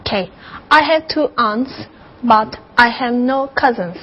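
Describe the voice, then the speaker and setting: A woman's voice: fairly high pitch, animated, unhurried. A woman playing a young English student from France, giving careful simple answers in a scripted classroom dialogue. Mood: polite, careful. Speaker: language student. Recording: slightly dull lo-fi audio.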